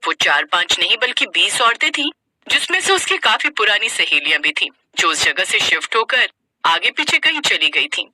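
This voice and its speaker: Very bright, animated woman narrator. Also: mid-range voice, normal speed, no room sound, low-quality recording. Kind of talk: scripted narration of a moral story.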